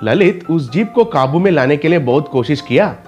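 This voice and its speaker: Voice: mid-range voice, expressive, normal speed, darkish tone, soft background music. A narrator reading a scripted children's story.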